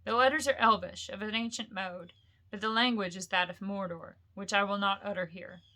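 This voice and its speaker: A woman reads the part of a grave old wizard from a fantasy storybook. The voice is bright and forward, commanding and slow, with weighty, portentous emphasis on the words. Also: mid-range voice, fairly even intonation, close to an everyday voice. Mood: grave, solemn.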